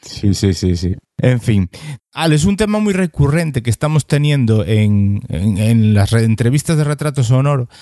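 A man hosts a relaxed podcast interview, friendly and teasing, laughing easily between questions. His voice sits in a middle range, and the sound is dry and close to the mic. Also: medium pitch, normal speed, dry recording. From Galicia, Spain. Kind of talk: casual conversational interview.

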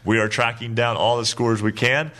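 A man hosting a high school sports radio show, chatty and laughing often, his voice a little rough from lack of sleep. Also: little pitch movement, moderate pace, fairly bright tone.

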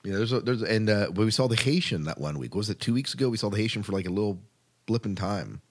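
A man hosting a podcast, chatting casually about television in a halting way, often restarting his phrases. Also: fairly low pitch. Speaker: podcast host. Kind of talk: casual chat about TV shows.

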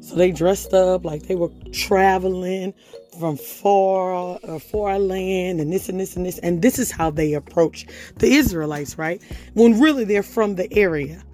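A woman hosting a devotional podcast, talking hoarsely in a casual, conversational way and reading scripture aloud. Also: fairly low pitch, measured pace, warm tone, soft background music. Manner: conversational, reads scripture aloud, chatty fillers. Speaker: podcast host.

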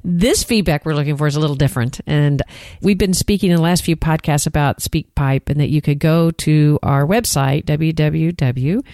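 A woman with a Texan accent co-hosting a podcast in casual chat. She talks in an animated way and laughs at herself. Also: deep voice, normal speed, hardly any pauses, dry recording, clean studio sound. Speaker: podcast co-host.

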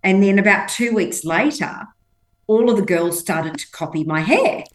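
A woman's voice: medium pitch, expressive, normal speed. A woman whose first language isn't English, telling personal stories emphatically as an interview guest and acting out quotes. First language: not English. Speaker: podcast guest. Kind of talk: conversational interview storytelling.

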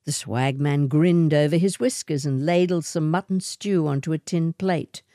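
A woman reading a short story as narrator, in an expressive scripted storytelling style. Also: deep voice, moderate pace, no room sound, studio quality.

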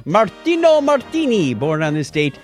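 A man's voice: sits high, huge pitch swings, normal speed, faint music behind. A man co-hosting a podcast, bantering casually and reading out segments in a playful, theatrical way. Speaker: podcast co-host.

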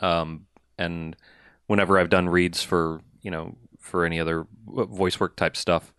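A man with a very low voice co-hosting a podcast. He jokes and gives emphatic advice, hammering points home by repeating himself. The recording is close and dry. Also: steady tone, measured pace, a bit bright, clean tone, no room sound. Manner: jokey, emphatic, repeats words for effect. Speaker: podcast co-host. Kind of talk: casual podcast chat.

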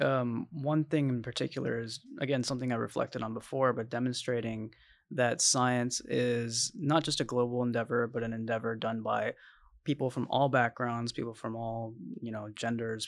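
A man speaks with a gravelly, fry-tinged voice that stays flat in pitch. He sounds earnest and steady, recorded dry and close. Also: dry recording. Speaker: podcast co-host. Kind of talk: casual panel discussion.

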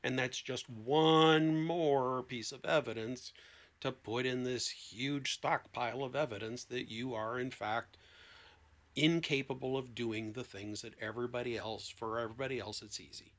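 Bright-voiced man explaining conversationally. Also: mid-range voice, moderate pace. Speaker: therapist, podcast guest.

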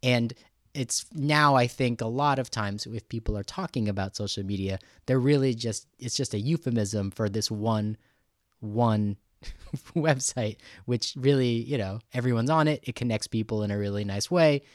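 A man's voice: mid-range voice, moderate pace, dry recording. Casual male podcast host, dry close sound. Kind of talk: casual conversational podcast interview.

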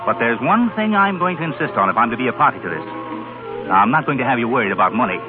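A man's voice: mid-range voice, expressive, quick pace, over music, close to an everyday voice. An actor in a 1930s radio drama playing a kindly, protective male publisher and loyal old friend. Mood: encouraging. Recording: tinny, band-limited 1930s radio recording.

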